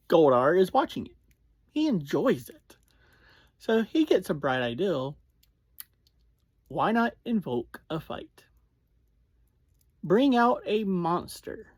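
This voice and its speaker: A reviewer hosting a casual recap, talking in short, halting phrases. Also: mid-range voice, no room sound. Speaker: podcast reviewer and host.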